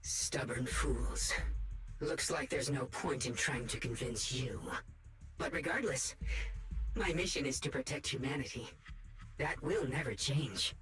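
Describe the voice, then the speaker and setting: An actor playing a zealous game villain who preaches unity in a grandiose, coldly protective manner. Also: male voice, medium pitch, unhurried, fairly bright tone, recorded from a distance, soft background music, lightly acted. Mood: cold, resolute. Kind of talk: video game villain monologue.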